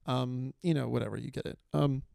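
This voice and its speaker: A man's voice: mid-range voice, fairly quick, creaky, warm tone, no room sound, studio quality. A young man hosting a solo comedy podcast, rambling and self-deprecating with lots of fillers and restarts. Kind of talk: casual solo comedy monologue. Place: small room, filmed on video.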